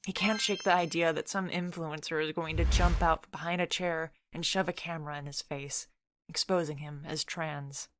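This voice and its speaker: A woman's voice: sits low, steady tone, normal speed, noisy background. A woman reading scripted third-person fiction as a story narrator.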